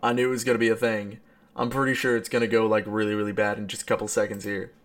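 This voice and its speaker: A man's voice: fairly low pitch, little pitch movement, quick pace. A young adult man reading a visual novel aloud as a streamer, with casual, slangy, exclamatory reactions. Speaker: let's play streamer. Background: background game music.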